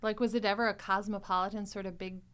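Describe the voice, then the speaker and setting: A woman hosts a casual chat in an expressive, animated way. Her pitch sits in the middle of the usual female range. She is quick to laugh and slips into acted dialogue when retelling a scene. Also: smooth. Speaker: podcast host chatting with cast members.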